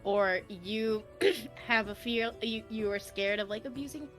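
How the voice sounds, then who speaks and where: A woman's voice: on the high side, measured pace, faint music behind. A woman hosting a casual live stream as a tarot reader, giggly and informal in manner.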